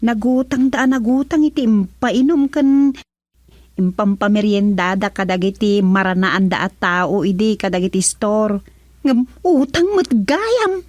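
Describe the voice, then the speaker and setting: A woman's voice: medium pitch, unhurried, darkish tone, no echo, lightly acted. A woman with a Filipino accent acting as a chatty, nosy villager in a comedy radio drama, with colloquial speech. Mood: explaining.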